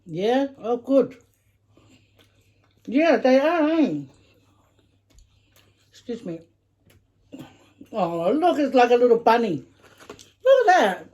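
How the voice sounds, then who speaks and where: Woman, middle-aged, mukbang host, mellow tone, giggly, talks with her mouth full. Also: on the high side, very animated, takes it slowly, pauses often. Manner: chatty, giggly, talks while chewing. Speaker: mukbang vlogger, mother. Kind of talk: casual chat while eating.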